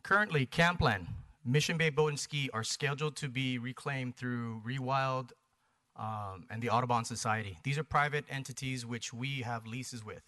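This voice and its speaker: Bright, forceful American man presenting. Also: medium pitch, moderate pace. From Hawaii, United States. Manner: emphatic, short punchy phrases and rhetorical questions. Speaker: presenter for a paddling community. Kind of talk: persuasive public presentation. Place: planning commission meeting.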